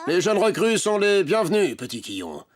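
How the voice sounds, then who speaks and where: A man's voice: high-pitched, very animated, normal speed, noisy background. A man voicing a commanding toy soldier captain who gives drill orders in a French children's cartoon. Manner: drill-style, clipped commands.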